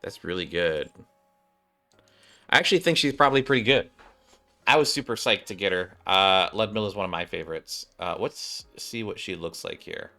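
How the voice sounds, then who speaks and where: A man's voice: sits low, animated, moderate pace, pushed and bright, faint music behind. A man doing casual game commentary, thinking aloud in a rambling way, with a slightly worn voice. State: voice slightly off, recovering. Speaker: gaming streamer, host.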